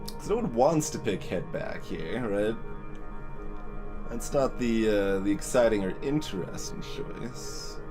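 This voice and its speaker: A man's voice: on the low side, animated, slow pace, music in the background. A man giving casual gaming commentary, musing aloud and deliberating as he goes. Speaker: let's play streamer.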